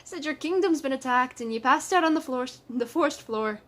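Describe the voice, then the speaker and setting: A woman's voice: high-pitched, brisk, a bit bright, pitched above the performer's own voice. Woman acting a firm, commanding male dwarf. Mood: firm, calming. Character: strong male dwarf villager, gruffly calming.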